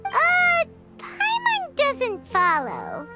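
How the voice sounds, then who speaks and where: A woman voicing a small, cheeky, childlike fairy companion in a video game, with a squeaky voice. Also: huge pitch swings, extremely slow, heavily acted. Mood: puzzled. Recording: loud background music. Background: game music.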